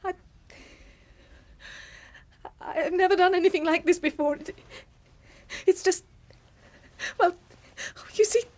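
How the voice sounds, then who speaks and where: An actress playing an anxious, secretive wife in a period radio drama, halting and breathless. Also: female voice, extremely high pitch, a little mellow. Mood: nervous, evasive. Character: anxious, secretive wife pleading with her husband.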